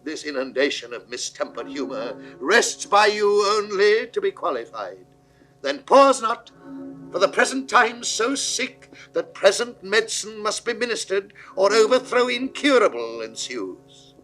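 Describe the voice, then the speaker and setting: High, forceful beleaguered king. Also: very animated, a little slow, soft background music, full character voice, rough recording. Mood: imperious, urgent. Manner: grand, declamatory. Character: proud, beleaguered king, anxious and imperious. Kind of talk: 1960s classical Shakespearean recorded acting.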